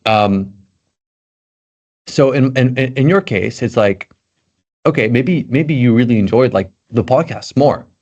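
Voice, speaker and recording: A man's voice: medium pitch, lively intonation, measured pace, long pauses, darkish tone, highs cut off. A man from Belgium with an American accent, chatting eagerly as a podcast guest and filling his talk with "like". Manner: loose and eager, heavy on fillers like "like". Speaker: guest, tech content creator. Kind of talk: casual podcast chat.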